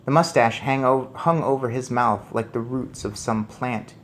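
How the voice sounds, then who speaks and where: A man's voice: medium pitch, measured pace, slight background noise. A man narrating a children's story expressively as he reads aloud. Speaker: storybook reader.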